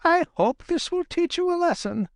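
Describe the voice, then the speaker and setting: A man voicing a shrewd old female fox, grandmotherly and sharp-tongued, lecturing in a storybook reading. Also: extremely high pitch, lively intonation, moderate pace, dry recording, clean studio sound, voice pushed up. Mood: scolding. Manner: sharp-tongued, lecturing, sing-song when reciting.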